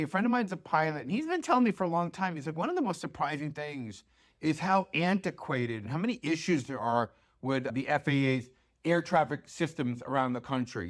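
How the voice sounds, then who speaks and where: An American man hosting an informal investment pitch, talking conversationally and emphatically, with check-ins and rhetorical questions. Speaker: financial newsletter host.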